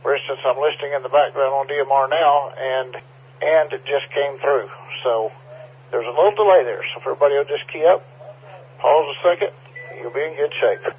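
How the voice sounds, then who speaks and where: A man's voice: medium pitch, a little fast, husky. A man making an informal announcement over an amateur radio link, explaining things in a conciliatory way. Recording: muffled phone-like amateur radio transmission.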